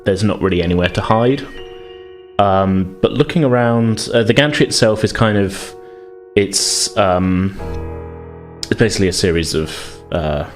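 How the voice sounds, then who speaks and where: A deep-voiced man acts as game master, improvising descriptions for a tabletop game. He talks conversationally, often correcting himself as he goes. Faint guitar music plays behind him. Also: measured pace. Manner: hesitant, self-correcting, conversational.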